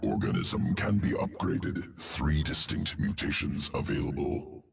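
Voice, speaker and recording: A man voicing a cold, obsessive insectoid alien geneticist in a video game, speaking in clipped, telegraphic phrases with a guttural croak. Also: a little slow, heavily acted. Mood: cold, clinical. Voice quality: deep guttural gravelly croak. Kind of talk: video game character dialogue. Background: music.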